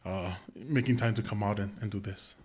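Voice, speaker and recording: A man hosting a podcast, asking rambling, halting questions with lots of fillers in a casual chat. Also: fairly low pitch, darkish tone, slight background noise. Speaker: podcast host.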